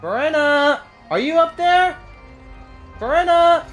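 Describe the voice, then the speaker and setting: A woman's voice: high voice, expressive, slow pace. A woman livestreaming a video game with casual, reactive commentary. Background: game music.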